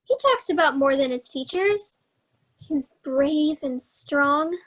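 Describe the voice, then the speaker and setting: Casting: earnest, dreamy young fairy-tale girl played by a woman, very high youthful voice, children's theater. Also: lively intonation, slow pace, fairly bright tone, full character voice. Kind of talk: children's theater staged reading.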